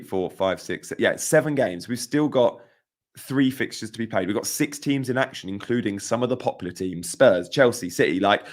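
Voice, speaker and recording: Chatty croaky-voiced young man streaming. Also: on the low side. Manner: chatty, emphatic, repeats points. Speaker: fantasy football streamer. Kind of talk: live-stream chat reading comments.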